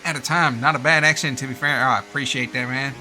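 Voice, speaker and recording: A man's voice: medium pitch, steady tone, fast, bright, forceful tone. A man doing live sim-racing commentary in a put-on American accent, informal and slangy, with casual asides. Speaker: race commentator and streamer.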